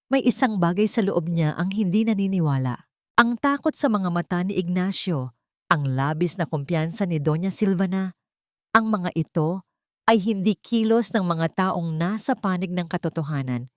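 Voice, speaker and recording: A woman's voice: on the low side, dry recording, clean studio sound. A woman giving a dramatic, scripted narration of a story.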